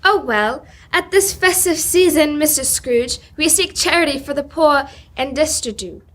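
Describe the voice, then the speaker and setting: A young woman playing a gentle, earnest fundraiser for the poor in a live radio drama, politely appealing. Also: high-pitched, unhurried. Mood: hopeful appeal. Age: teenager or young adult. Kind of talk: live old-time radio drama reading.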